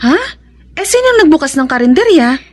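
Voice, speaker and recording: A woman's voice: high voice, big pitch swings, fairly quick, no echo, studio quality. A Filipino woman acting a chatty, nosy but caring best friend in a radio drama, expressively. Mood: concerned.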